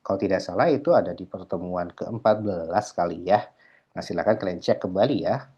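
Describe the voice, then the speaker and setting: A man's voice: low-pitched, fairly quick, breathy edge. A man lecturing, walking students through a worked accounting problem on screen, peppering his talk with "nah" and "ya". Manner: explanatory, filler "nah" and "ya", code-switching English terms. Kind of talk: screen-recorded lecture walkthrough. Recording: dull lo-fi mic.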